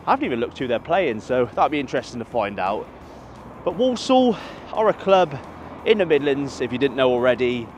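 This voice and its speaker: A man talks to camera outside a football stadium, rambling along and fixing his words as he goes. His voice sits at an everyday pitch. Faint traffic hums behind him in the open air. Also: very animated, a little fast. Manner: chatty, rambling, self-correcting. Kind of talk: casual walking vlog to camera. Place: outdoors by a stadium near a motorway.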